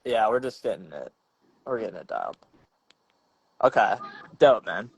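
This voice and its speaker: A man's voice: on the low side, very animated, moderate pace, gravelly, breathy edge, dry recording, poor audio. A young man hosting a podcast in a casual, slangy, rambling chat over a video call, often restarting his sentences. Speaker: podcast host.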